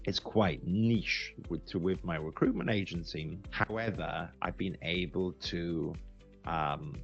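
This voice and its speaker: A British man with a deep, gravelly voice talks through his experience in a casual, unscripted way. He explains conversationally, doubling up words for emphasis. Faint music plays behind him. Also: lo-fi sound. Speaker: recruitment agency founder giving a software review.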